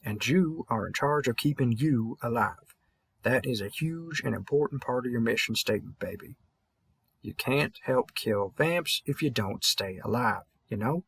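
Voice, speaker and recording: A man's voice: medium pitch, expressive, normal speed, a bit bright, breathy edge, dry recording. A man playing a tired veteran vampire hunter from the American South, mumbling and rambling folksy encouragement in an intimate audio roleplay. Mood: encouraging.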